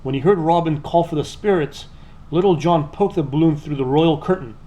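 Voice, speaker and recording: A man narrating a children's storybook aloud in an expressive, scripted read-aloud style. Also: on the high side, brisk.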